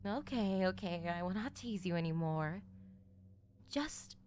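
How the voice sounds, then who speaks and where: A woman's voice: fairly low pitch, lively intonation, unhurried, a little mellow, voice pushed down. A woman voicing a teasing, doting middle-aged mother in an anime-style ASMR roleplay, with coy, playful endearments. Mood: sly teasing.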